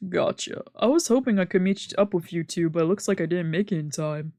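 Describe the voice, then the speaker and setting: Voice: medium pitch, fairly quick, a little mellow, no echo, studio quality. A streamer reading visual novel text aloud in a casual way, with sweary asides. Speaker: streamer doing a visual novel let's play. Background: game music.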